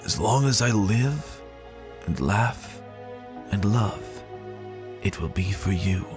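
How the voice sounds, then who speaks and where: A man as a low-voiced, lovestruck young stallion. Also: expressive, music in the background. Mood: adoring, earnest. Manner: earnest, heartfelt, with dramatic pauses. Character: lovestruck young male pony, earnest and tender suitor. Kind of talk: dramatic fan fiction reading.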